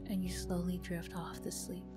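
A woman softly narrating an ASMR roleplay. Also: fairly low pitch, completely flat delivery, normal speed. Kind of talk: soft ASMR story narration. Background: music, guitar.

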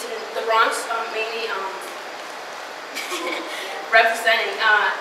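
A young woman from the Dominican Republic whose first language is Spanish, giving a casual, chatty motivational talk to a live audience. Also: fairly high pitch. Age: young adult. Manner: casual, chatty, with frequent fillers and laughs. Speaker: student speaker. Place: lecture hall before a live audience. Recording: very echoey hall, mic far off, noisy.

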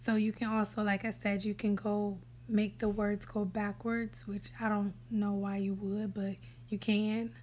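Slow, even-toned woman tutorial host. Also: mid-range voice, a little hiss or hum. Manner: relaxed step-by-step instructions, sometimes whispery. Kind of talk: screen-recorded app tutorial voice-over. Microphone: voice-over for a screen recording.

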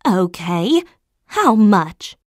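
A woman voicing a kindly older female figure in a children's English-lesson cartoon, speaking in a deliberate, carefully enunciated way. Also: medium pitch, sing-song, a little hiss or hum. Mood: mild, questioning.

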